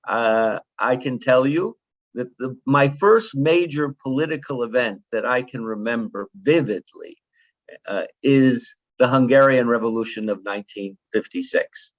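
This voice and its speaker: A man in his seventies giving closing remarks at a conference, speaking in a measured, digressive way with frequent fillers and restarts. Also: medium pitch, no room sound. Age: 70s. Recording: dull lo-fi sound, dry.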